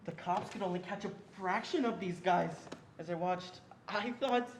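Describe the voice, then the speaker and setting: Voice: medium pitch, moderate pace, natural voice. A young performer playing an earnest narrator who recalls a frightened childhood, in scripted stage narration. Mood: awed. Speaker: student reader in a reader's theatre performance. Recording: roomy echo with faint background noise.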